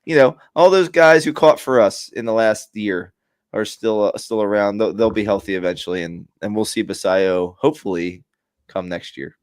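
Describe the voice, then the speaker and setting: A man's voice: fairly low pitch, normal speed, dry recording, studio quality. A man co-hosting a casual sports podcast, talking in a rambling way with restarts. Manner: rambling, many restarts and fillers. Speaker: podcast co-host. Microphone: remote streaming mic.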